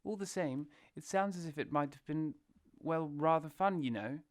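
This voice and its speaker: A man's voice: a little high, moderate pace, close to an everyday voice. A man voicing a shy, hesitant male mole in a fan audio drama. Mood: timid. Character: meek eager male mole, timid and loyal.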